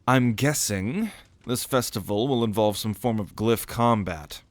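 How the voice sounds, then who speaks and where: A man's voice: on the low side, a little slow, no echo, lightly acted. A man playing a cocky, sardonic young prince at a mage academy in an audio roleplay, with a swaggering, theatrical manner. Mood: eager, teasing. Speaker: ASMR roleplay voice actor.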